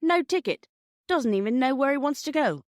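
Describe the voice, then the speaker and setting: A storybook station master, a blunt and officious man acted out by a woman reader. The speech is colloquial and businesslike, pitched above the reader's narration, and recorded very dry and close. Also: lively intonation, moderate pace, no room sound. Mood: grudging, puzzled.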